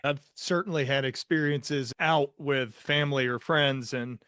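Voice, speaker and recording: A man's voice: mid-range voice, steady tone, a little slow, bright, forceful tone, clear, resonant tone. An American man chatting casually as the host of an interview podcast.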